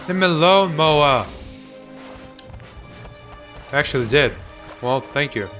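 Bright-voiced joking gamer man. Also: a little high, expressive, takes it slowly, faint music behind. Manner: goofy, sarcastic, hums and mock voices. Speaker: let's play commentator. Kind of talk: casual gameplay commentary.

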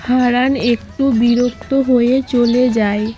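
A woman's voice: a little high, flat delivery, measured pace, warm tone, faint music behind. A woman narrator reading a scripted children's moral story. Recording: dull lo-fi audio.

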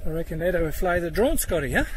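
A man in his forties talking casually and slowly on a tidal mudflat, filmed on a handheld camera. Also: fairly high pitch, sing-song. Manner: slow, easygoing, jokey. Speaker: companion on the trip. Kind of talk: casual outdoor chat. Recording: noisy outdoor sound, wind and wildlife.

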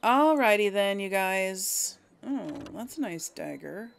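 Female let's play host, leisurely and fry-edged, casually thinking out loud as she plays. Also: mid-range voice, expressive, slow pace, a little hiss or hum. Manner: musing aloud, hesitant deliberation. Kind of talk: casual gameplay commentary.

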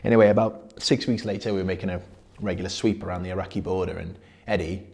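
An actor playing a grim, haunted army veteran telling war stories in a husky, plain-spoken, intimate dramatic monologue. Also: male voice, low voice, steady tone, fast, a little mellow. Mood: haunted, subdued.

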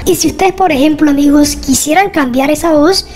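Man as a high electronically altered woman's voice. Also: fairly quick, darkish tone. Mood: casual, explanatory. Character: young woman's voice made by a voice changer. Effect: real-time male-to-female voice changer. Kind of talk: casual software tutorial voice-over.

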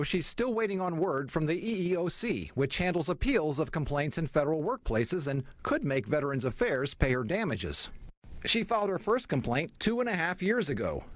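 A man's voice: fairly high pitch, dry recording. An American man in his forties, an investigative television reporter reading scripted news narration.

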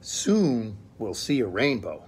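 A man voicing a kindly mother rabbit from a picture book, patiently teaching her child with drawn-out phrasing. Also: fairly low pitch, very animated. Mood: patient, instructive. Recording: clear background room noise, phone recording.

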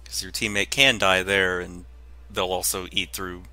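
A man's voice: low voice, flat delivery, moderate pace, pushed and bright. A man chatting casually as a co-commentator on a video game playthrough. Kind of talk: casual gameplay commentary chat.

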